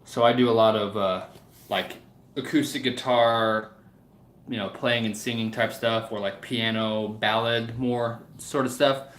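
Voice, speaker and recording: A man's voice: lowish voice, steady tone, a little slow, a bit bright. A man chatting casually to viewers as a livestream host, in a hesitant, rambling way that often trails off. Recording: roomy, echoing room sound.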